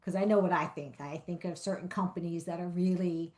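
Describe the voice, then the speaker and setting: A woman moderating a conference fireside chat, lively and quick to agree with her guest. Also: lowish voice. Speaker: interviewer, moderator.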